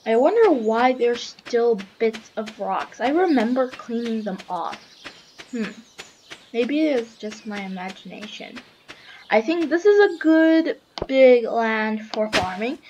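Slow, chatty young gamer with hoarse voice. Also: female voice, a little high, animated, background noise. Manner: chatty, self-deprecating, reads in-game text aloud. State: slightly hoarse, lost voice. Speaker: gamer narrating a farming game. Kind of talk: casual let's-play commentary. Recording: game music loud behind voice.

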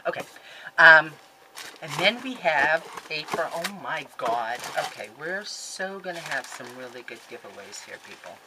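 Woman, 50s, low-pitched, excitable and rambling; home webcam sound with clear background noise. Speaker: scrapbooking vlogger. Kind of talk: casual chatty haul video. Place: craft room at home, indoors. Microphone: webcam at desk.

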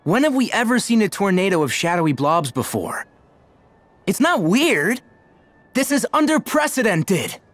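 A lively, brash teenage boy. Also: sits high, unhurried, no echo, full character voice. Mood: worked up. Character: confident, brash, friendly teenage boy gang leader. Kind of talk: animated video game acting.

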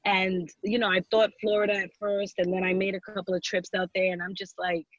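A woman in her forties talking casually on a video call, relaxed and curious, asking questions. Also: mid-range voice, fairly quick, no echo. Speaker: podcast co-host. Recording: dull lo-fi call audio.

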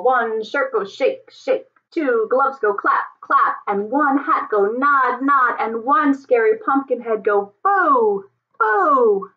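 A middle-aged woman reads a children's story aloud. Her pitch moves up and down in a lively way, and she takes her time with deliberate, dramatic pauses. The webcam recording sounds a little dull. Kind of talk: expressive picture-book read-aloud. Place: home office, indoors.

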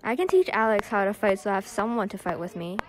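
A woman's voice: mid-range voice, sing-song, fast talker, close to an everyday voice. A young teenage girl playing a tough, blunt zombie survivor in an audio drama. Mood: earnest. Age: young teen. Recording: amateur recording with faint noise.

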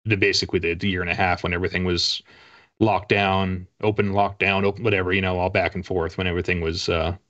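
Low-voiced American man, wry and casual. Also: flat delivery, fast, a bit bright. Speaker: author guest. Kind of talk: casual interview talk. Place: small home room lined with bookshelves. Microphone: video call, at a desk. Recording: slightly muffled video call audio.

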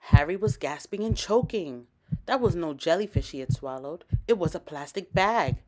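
A woman storyteller reading a children's picture book aloud with expressive, dramatic emphasis. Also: lowish voice, moderate pace, low-quality recording.

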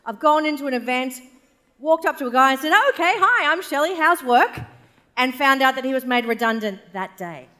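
Australian woman, keynote speaker, chatty and animated, headset mic, reverberant event hall. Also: sits high, a little fast. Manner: animated, chatty, gesturing on stage. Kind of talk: live keynote speech to an audience. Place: large event stage with a live audience.

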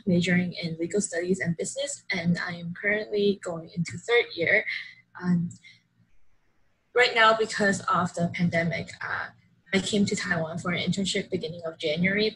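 A woman's voice: medium pitch, bright, forceful tone, poor audio. Young woman, chatty, upbeat self-intro over a video call, faint room hiss. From Hong Kong. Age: young adult. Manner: chatty, upbeat, many likes and ums. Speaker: exchange student introducing herself.

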